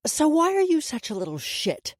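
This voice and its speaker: A woman's voice: lowish voice, very animated, moderate pace, darkish tone, dry recording, clean studio sound. A woman playing a menacing, mocking, commanding woman in charge, as audiobook dialogue. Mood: falsely sweet, menacing.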